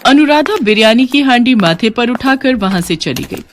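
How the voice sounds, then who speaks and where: A woman's voice: fairly high pitch, lively intonation, moderate pace, slight background noise. A woman reading a scripted moral story as its narrator.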